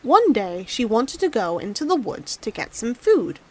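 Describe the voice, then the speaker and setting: A high-pitched woman narrates a fairy tale. She reads expressively, in the manner of a storybook audiobook. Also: sing-song, normal speed, darkish tone, slightly rough, rough recording.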